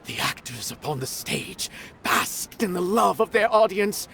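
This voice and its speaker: An actor playing a middle-aged, worldly art connoisseur in a video game, speaking in a measured, formal and lofty way. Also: male voice, sits high, lively intonation, vocal fry, bright, forceful tone, a little hiss or hum, heavily acted. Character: worldly male art connoisseur, grave and refined. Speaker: former stage performer turned art critic. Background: game music.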